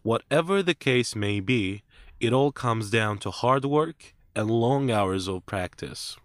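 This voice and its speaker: A man reading a scripted listening-test script in a measured, clear way, as narrator and interviewee. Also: medium pitch, expressive, a bit bright, no echo, clean studio sound.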